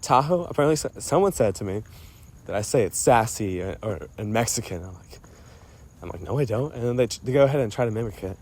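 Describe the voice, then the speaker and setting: A man's voice: mid-range voice, lively intonation, fast talker, a little mellow. A man speaking casually to a friend in a personal voice memo, playful and self-mocking, often interrupting himself and drifting off his thread. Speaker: storyteller recording a personal voice memo. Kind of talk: rambling casual monologue addressed to a friend.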